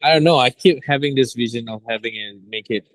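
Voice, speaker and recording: A man, a director speaking casually and haltingly, often rephrasing. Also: medium pitch, a bit bright, no room sound, poor audio. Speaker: audio drama director. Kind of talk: casual discussion.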